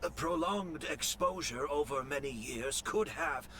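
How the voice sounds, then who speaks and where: A man's voice: a little high, expressive, fairly bright tone, a little hiss or hum. A man voicing a grave, weary, knowing master in a dramatic video game. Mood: solemn explanation. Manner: measured, solemn.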